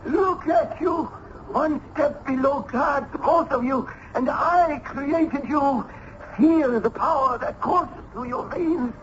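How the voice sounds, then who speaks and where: High-pitched, grandiose mad scientist. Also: male voice, fairly bright tone, full character voice. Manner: grandiose, declamatory. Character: proud, fanatical older scientist father boasting of godlike creations. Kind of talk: old-time radio drama acting. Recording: dull, noisy old radio broadcast.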